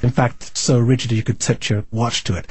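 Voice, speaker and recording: Husky mid-pitched man explaining in an interview. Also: level tone, moderate pace, no echo. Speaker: interviewee, shortwave recording enthusiast.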